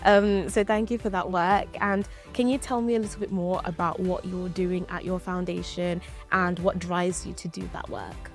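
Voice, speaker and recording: A woman's voice: fairly low pitch, little pitch movement, normal speed, few pauses, soft background music. A woman from Zimbabwe whose first language is Shona, hosting an interview in an encouraging, enthusiastic way. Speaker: interview host. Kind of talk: casual interview.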